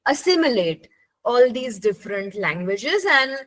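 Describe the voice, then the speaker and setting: Slow-spoken, gracious female moderator on a webcam call, mid pitch. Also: big pitch swings, rough recording. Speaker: session moderator. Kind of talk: video call thank-you remarks. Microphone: webcam on video call.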